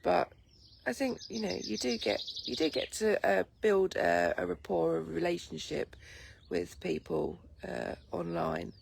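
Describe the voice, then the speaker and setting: A woman with an English accent chatting casually on a morning livestream from her garden. She has a croaky voice just after waking and rambles in a relaxed way, with many ums. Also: on the low side, a little slow, a little hiss or hum. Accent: English, Lincolnshire. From England. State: just woken, sleepy. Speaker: vlogger greeting live viewers. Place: outdoors in a garden. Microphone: phone camera. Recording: outdoor phone audio with loud birdsong. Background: birdsong and light breeze.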